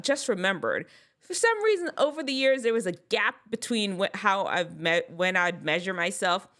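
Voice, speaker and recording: A woman with a mixed Jamaican and American accent, talking casually to camera with strong enunciation and an animated, chatty way of giving advice. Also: mid-range voice.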